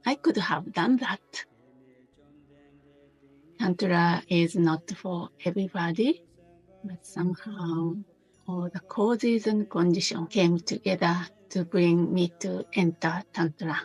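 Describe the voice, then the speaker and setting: A woman teaching a guided meditation in a gentle, unhurried manner, pausing between short phrases. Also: on the low side, fairly even intonation, breathy edge, low-quality recording.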